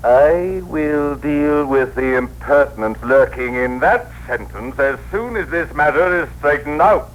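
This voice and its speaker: An actor playing a pompous, irritable director, officious and imperious, in a 1960s radio drama. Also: male voice, a little high, lively intonation, a little slow, few pauses, soft background music. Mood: stern. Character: pompous, irritable bureaucratic director, male boss. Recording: noisy vintage radio broadcast recording.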